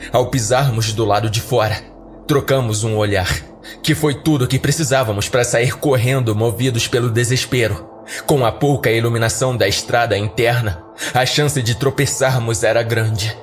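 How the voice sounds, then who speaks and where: A man narrating a scripted first-person horror story for a podcast. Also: mid-range voice. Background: faint music.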